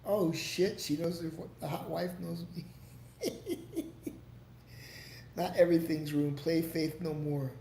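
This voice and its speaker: A man's voice: a little high, fairly even intonation, takes it slowly, gravelly, pauses often, warm tone. A man hosting a casual livestream, answering viewer comments in a rambling, profane way with lots of restarts. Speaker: livestream host. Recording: echoey room with faint background noise.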